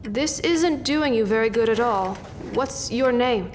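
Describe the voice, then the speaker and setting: A woman's voice: on the high side, animated, unhurried, noisy background. An actress playing a wary, defiant young female citizen in a radio drama, guarded and tense. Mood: anxious. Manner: tense self-talk, guarded. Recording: roomy reverberant sound with faint music.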